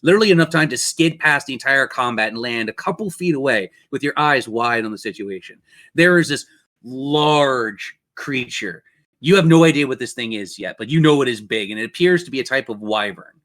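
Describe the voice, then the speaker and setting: A man's voice: medium pitch, lively intonation, a little fast, a bit bright. Dramatic man running a tabletop game. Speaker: game master. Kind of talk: improvised narration of a tabletop game.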